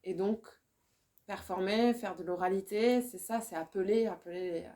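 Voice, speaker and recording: A woman poet, talking in a relaxed discussion, often hesitating and restarting as she searches for words. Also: mid-range voice, normal speed. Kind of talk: casual discussion.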